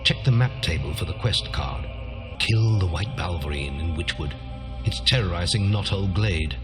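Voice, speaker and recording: Deep, echoing guild master. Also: male voice, expressive, normal speed. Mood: formal urging. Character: stern guild master instructing the hero. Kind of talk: video game announcement acting. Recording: reverberant, music behind.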